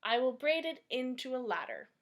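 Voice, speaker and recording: Woman playing young storybook maiden, sweet, naive, high lilting voice, earnest, guileless. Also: sing-song, moderate pace. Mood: earnest, planning.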